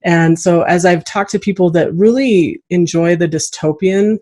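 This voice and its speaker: A woman's voice: on the low side, moderate pace, darkish tone. A woman hosting a casual interview, chatting in an enthusiastic, affirming way. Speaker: podcast host.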